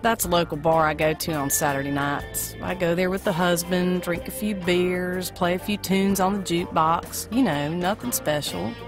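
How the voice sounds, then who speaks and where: A woman's voice: on the low side, fairly even intonation, normal speed, few pauses, lightly acted. Woman playing a folksy diner waitress over music. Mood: chatty, folksy. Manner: folksy, informal, blunt. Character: brassy, folksy small-town diner waitress, foul-mouthed when harried. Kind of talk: amateur audio play acting.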